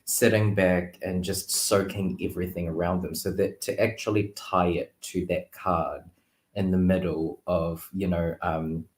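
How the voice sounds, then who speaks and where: A man with a deep voice reflects casually on tarot as a guest reader. He thinks aloud, pausing and picking his way through his ideas. The room around him gives a noticeable echo. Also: little pitch movement. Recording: roomy, echoing sound.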